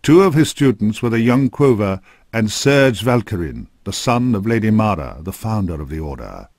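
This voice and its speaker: A man narrating a scripted dark fantasy game story in a grave, measured storytelling manner. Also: fairly low pitch, big pitch swings, dry recording, clean studio sound.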